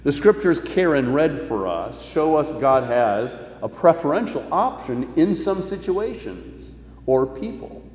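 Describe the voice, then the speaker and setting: A man preaching a sermon as a pastor, measured and leaning with emphasis on key phrases. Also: fairly high pitch, sing-song, a little mellow. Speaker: pastor preaching to his congregation. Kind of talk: sermon, reading and explaining scripture. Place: large reverberant indoor worship space. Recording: echoey church hall, muffled, faint noise.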